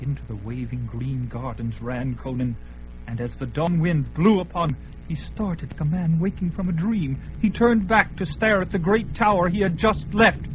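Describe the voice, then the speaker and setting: High-voiced dramatic old radio narrator. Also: male voice, steady tone, normal speed. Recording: dull old recording with loud hiss.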